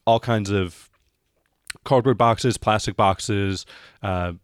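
A man with a fairly low voice works as game master in a tabletop role-playing game. He builds his descriptions haltingly as he goes, in a dry, close recording. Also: fairly even intonation, clean tone, no echo.

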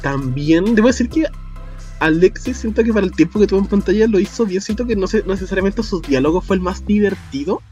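A chatty Chilean man talking in a slightly high register, with quick laughs and loose slang. A faint bed of music sits under his voice. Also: lively intonation, a little mellow, lo-fi sound. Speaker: podcast co-host. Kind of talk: casual chat.

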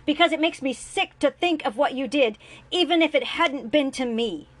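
A bitter, worn-out young man, as a woman reading the story puts him on, sardonic and quick to flare into anger. His voice rises in pitch and volume when he is hurt, and every line is sharp and accusing. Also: expressive, heavily acted. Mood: furious.

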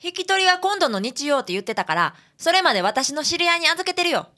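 A woman's voice: high-pitched, sing-song, fast, bright, forceful tone, full character voice. A woman playing a breezy, chatty detective's assistant with a Kansai accent in a radio drama. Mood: breezy, friendly. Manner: casual, familiar.

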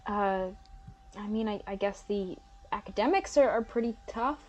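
A young woman playing a bewildered, polite but sceptical new student in a comedic audio drama, speaking hesitantly with a deadpan edge. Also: medium pitch, moderate pace, slight background noise, lightly acted. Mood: wary, deadpan.

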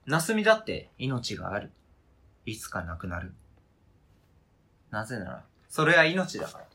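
A man's voice: lowish voice, huge pitch swings, moderate pace, pushed and bright, breathy edge, heavily acted. A man acting a stubborn, hurt partner in an amateur radio drama, questioning intensely and insistently. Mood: coldly accusing. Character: stubborn, hurt partner fiercely attached to an old toy.

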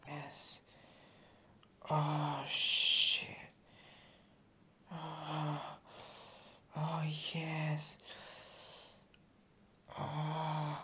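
A man acting as an adult male lover, breathy and partly whispering in an intimate audio roleplay. His delivery is extremely slow, raised well above his talking pitch. The sound is very echoey. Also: a bit bright, slight background noise. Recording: very roomy, echoing sound.

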